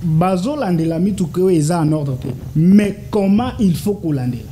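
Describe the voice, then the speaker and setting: A man's voice: sits high, normal speed, dark tone, slightly rough. A Congolese man with a Congolese French accent, a political analyst answering interview questions with rhetorical questions and stammered repeats.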